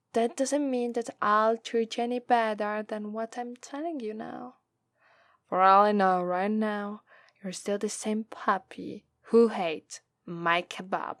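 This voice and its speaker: A woman's voice: mid-range voice, no echo. A woman with an Italian accent voicing a haughty, menacing female demon who taunts and condescends in an ASMR roleplay. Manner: condescending, drawn-out, taunting delivery.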